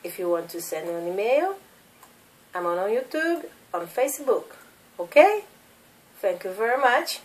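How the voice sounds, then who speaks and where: A woman's voice: mid-range voice, big pitch swings, a little slow, pauses often, distant mic. A woman in her thirties from Brazil with a Brazilian Portuguese accent, teaching English as a second language and drilling simple questions for learners to repeat. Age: 30s. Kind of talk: slow classroom drilling of questions.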